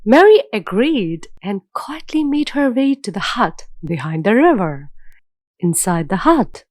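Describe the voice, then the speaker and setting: Slow, expressive woman storyteller. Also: mid-range voice, no echo, studio quality. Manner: measured, dramatic storytelling. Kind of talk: scripted children's fairy tale narration.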